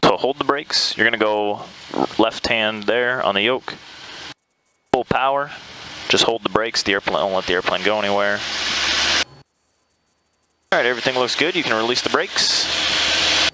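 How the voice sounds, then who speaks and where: A man's voice: lowish voice, moderate pace, pauses often, poor audio. A man working as a flight instructor, patiently talking a student through each step and asking questions over a headset intercom. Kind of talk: spoken instruction to a student pilot during a flight. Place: inside a small Cessna cockpit in flight. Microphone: aviation headset intercom. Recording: loud engine noise over cockpit intercom.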